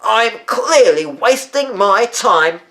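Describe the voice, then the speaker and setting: A man plays an imperious old grandmother in a children's story. The voice is pitched up and swoops with disapproval. Every line sounds stern and haughty. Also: animated, slow pace, a bit bright, exaggerated voice. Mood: huffy.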